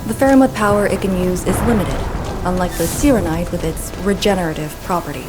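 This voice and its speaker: A woman's voice: fairly low pitch, fast talker, lightly acted. A woman playing a stern, curt, businesslike female fighter in a video game, with music behind her. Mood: resolute. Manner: terse, stern, matter-of-fact. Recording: loud music behind the dialogue.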